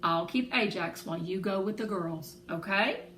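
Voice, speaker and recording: Lady doing a shy sweet storybook woman, gentle, bit echoey w faint noise. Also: medium pitch, moderate pace, natural voice. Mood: helpful. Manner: shy, soft-hearted. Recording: roomy echo, faint background noise.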